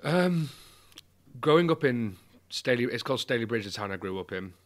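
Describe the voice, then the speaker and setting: A man's voice: medium pitch, animated, normal speed. A young man with a Mancunian accent, speaking candidly and with self-deprecating humor as an interview guest over a video call. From England. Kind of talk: conversational interview.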